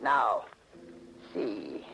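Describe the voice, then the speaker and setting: An actor playing an old, mysterious servant in a 1940s radio mystery, speaking formally and cryptically with soft menace. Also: male voice, fairly low pitch, sing-song, gravelly, slight background noise, full character voice, poor audio. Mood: ominous, servile. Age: older. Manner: formal, slow, cryptic speech. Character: bony old mysterious servant mystic, softly ominous and servile.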